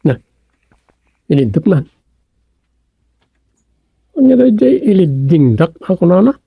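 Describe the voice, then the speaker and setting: A woman with an Ilocano accent playing a flustered local on the phone in a radio comedy drama, with a creaky, husky voice. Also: on the low side, very animated, takes it slowly, no room sound, narrow, tinny audio, heavily acted. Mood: puzzled.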